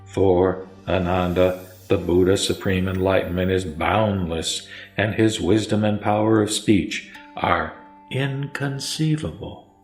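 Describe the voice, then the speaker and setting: A man voicing the Buddha as a serene teacher, preaching in a solemn, measured way in a scripted sutra audio drama. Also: low voice, a little mellow. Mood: solemn instruction. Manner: solemn, measured sermon delivery. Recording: echoey voice over music.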